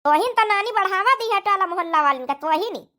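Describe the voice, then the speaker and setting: A woman's voice: extremely high pitch, animated, quick pace, sharp tone, full character voice. A woman voicing a bossy, grumbling older mother-in-law in a village cartoon, with an Awadhi accent. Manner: bossy, complaining. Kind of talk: cartoon comedy dialogue in rural dialect.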